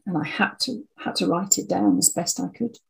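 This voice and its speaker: A woman's voice: mid-range voice, very animated, normal speed, vocal fry, husky, low-quality recording. A woman in her sixties, an interview guest telling her own story in a reflective, conversational way. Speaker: audio drama writer and producer, interview guest.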